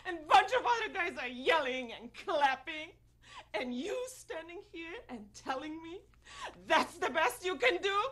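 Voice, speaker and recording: A woman's voice: sits high, a bit bright. A woman acting a furious, wounded young woman in a bitter, confrontational stage monologue. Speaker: contestant performing a dramatic monologue.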